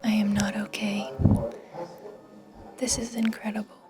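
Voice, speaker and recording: A woman narrating a vlog in an excited, gushing and exclamatory way. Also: mid-range voice, a little slow, music in the background. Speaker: vlogger and seamstress.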